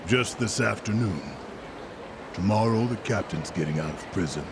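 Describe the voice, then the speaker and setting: An actor playing a curt, intimidating yakuza patriarch in a video game cutscene. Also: male voice, lowish voice, lively intonation, moderate pace. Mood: curt, threatening. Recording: urban outdoor noise behind.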